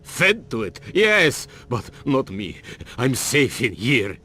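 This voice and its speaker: An actor playing a truck driver half-mad with fear in a video game, panicky, muttering and repetitive. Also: male voice, mid-range voice, big pitch swings, takes it slowly, pushed and bright, breathy edge, heavily acted. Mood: haunted, dazed. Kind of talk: video game cutscene acting.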